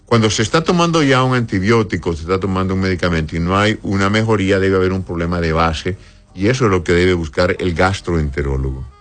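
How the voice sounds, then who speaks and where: A man's voice: sits low, quick pace. A man speaking as an on-air doctor, reading listeners' questions aloud and answering them in a blunt, explanatory way with frequent checking tags. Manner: explanatory, often adds a checking "right?" tag.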